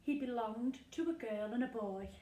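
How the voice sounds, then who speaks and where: A woman reading a rhyming picture book aloud to young children, sing-song and expressive, with dramatic pauses. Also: on the high side. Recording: echoey room, distant from mic.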